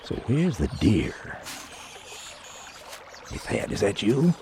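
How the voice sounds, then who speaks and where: A man's voice: on the low side, huge pitch swings, slow pace, exaggerated voice. A man playing an uneasy, jumpy deer hunter in a radio play, with a defensive way of talking. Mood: muttering, impatient. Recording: noisy sound-effect bed behind voice.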